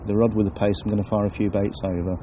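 A man calmly talking through his fishing setup outdoors on a lake bank, sometimes dropping to a hush near the water. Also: lowish voice, fast talker, a little hiss or hum. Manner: explains calmly, drops to a near-whisper by the water. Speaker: angler presenting a fishing video. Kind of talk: casual on-the-bank fishing commentary.

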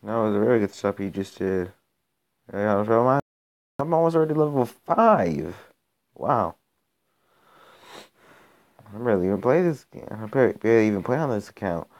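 A young man with a slightly low voice, raspy from a recent illness, talks over his phone gameplay in a loose, rambling way. He trips over his own sentences and grows exasperated when a match goes badly. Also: lively intonation, moderate pace, long pauses, highs cut off. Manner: rambling, self-interrupting, gets exasperated during play. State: raspy from being sick. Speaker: gaming channel host. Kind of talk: casual gameplay commentary.

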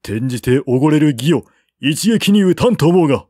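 A man's voice: fairly high pitch, lively intonation, fairly quick, dark tone, dry recording, clean studio sound, voice pushed up. A man voicing a commanding aging warlord king. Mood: resolute, indignant. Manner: commanding, archaic formal speech.

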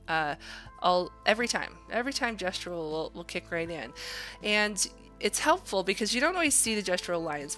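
Bright-voiced woman teaching, chatty and informal. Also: mid-range voice. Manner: chatty, encouraging. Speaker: art workshop instructor. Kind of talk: informal drawing demonstration, thinking aloud.

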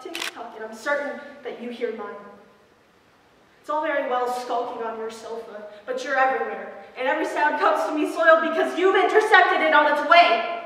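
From far off in a noisy, very echoey hall, a teenage girl plays a relentless, accusing woman on stage. The voice is high and declamatory, with biting intensity. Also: lightly acted. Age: teens. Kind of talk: stage dramatic monologue.

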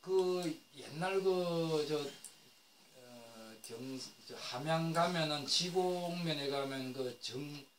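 A man's voice: on the high side, slow. Older man lecturing in an echoey room. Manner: rambling, self-correcting explanation with many fillers. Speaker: lecturer on traditional floor heating. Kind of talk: classroom lecture. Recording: echoey room, recorded from a distance with faint noise.

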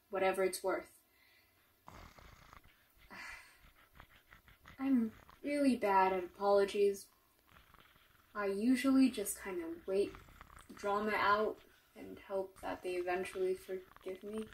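A woman playing a snappish, grudgingly caring young zombie apocalypse survivor in an audio roleplay, curt and halting with dry sarcasm. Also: mid-range voice, lightly acted. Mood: subdued, apologetic. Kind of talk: first-person audio roleplay, naturalistic acting. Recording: slightly distant from the mic.

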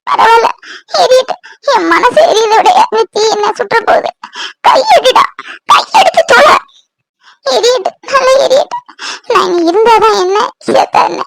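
An actress playing a bitter, tearful young bride in a serial, scolding curtly. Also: female voice, very high voice, moderate pace, vocal fry, dry recording. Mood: anguished, defiant. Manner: scolding, curt, emotional. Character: bitter, tearful young bride who scorns her husband. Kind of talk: Tamil TV serial acting.

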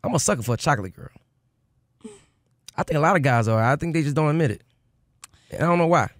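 A man's voice: a little high, lively intonation, fast talker, dry recording. A young man hosting a radio interview, playful and slangy with a hype delivery, in a studio. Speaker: radio host. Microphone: studio mic on boom arm.

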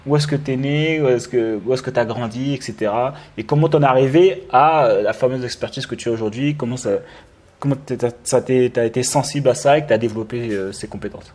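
A man in his thirties hosting a live interview over a video call, chatty and relaxed, with many fillers and restarts. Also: mid-range voice, fairly quick. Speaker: interview host. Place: indoor room with lamp and wall art. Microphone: webcam on video call.

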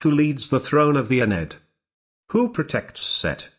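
A man's voice: medium pitch, huge pitch swings, measured pace, breathy edge. A man reading aloud in a solemn, declamatory recitation, in short separated phrases. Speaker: reader. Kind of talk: slow solemn recitation of ancient religious epithets.

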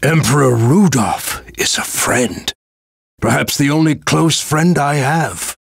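An older man playing a humble, stern old knight and grandfather in a video game, with a gravelly, husky voice and a measured, grave manner. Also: mid-range voice, animated, no room sound, clean studio sound, exaggerated voice. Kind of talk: video game character voice acting.

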